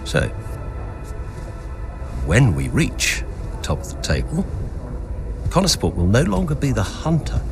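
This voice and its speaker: An actor playing a middle-aged, ambitious father and racing team owner, speaking in a commanding, deliberate way for a game story. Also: medium pitch, huge pitch swings, music in the background. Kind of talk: cinematic game story dialogue.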